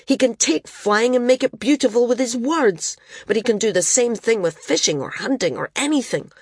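A woman voicing a tearful, defiant young boy who shouts in raw, pleading bursts. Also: a little high, expressive, normal speed, husky, no echo, voice pushed up.

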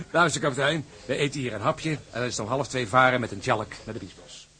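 An actor playing a hearty, loyal and blunt ship's mate in a Dutch radio drama. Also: male voice, mid-range voice, fairly quick, pushed and bright, natural voice. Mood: cheerful, coaxing.

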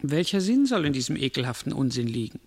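A man's voice: mid-range voice, big pitch swings, fast, darkish tone. A man playing a stern, mocking narrator of doom, declaiming solemnly and incantatorily in a literary radio drama. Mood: scornful. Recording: long cavernous reverb.

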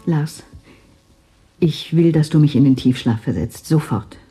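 An actress playing a brooding spaceship scientist in a science fiction radio drama, speaking in short, deliberate phrases. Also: female voice, sits low, mellow, husky, faint music behind, exaggerated voice. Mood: anguished, resigned. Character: anguished, clinical spaceship scientist, resigned woman.

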